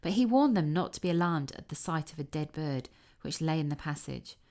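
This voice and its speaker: A woman reading a classic fairy tale aloud as a storybook narrator, expressive and careful. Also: low-pitched, brisk.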